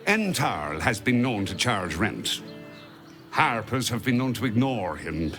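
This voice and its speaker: A man's voice: fairly low pitch, measured pace, faint music behind. A man playing a grumbling shopkeeper with showy charm and a wry manner in a video game. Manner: wry, mock-flattering.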